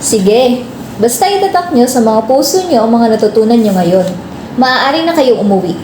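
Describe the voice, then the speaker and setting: A woman's voice: on the high side, lively intonation, normal speed, background noise, natural voice. A woman playing a teacher who narrates a scripted story in an amateur radio drama. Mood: earnest. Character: female history teacher narrating a hero's story. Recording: echoey room, music behind.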